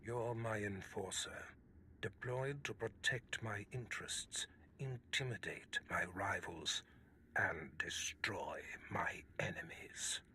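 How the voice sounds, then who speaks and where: Low male Sith lord, very sharp and intense, cold, scheming, imperious, formal, measured, video game villain. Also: in a whisper, exaggerated voice. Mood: cold, commanding. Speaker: voice actor in a game. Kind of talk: cinematic video game acting.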